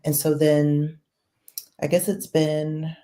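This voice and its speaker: A woman guest on a podcast interview, talking in a measured, thoughtful way with many fillers. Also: low voice, level tone, mellow. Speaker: guest, political volunteer. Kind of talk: casual interview.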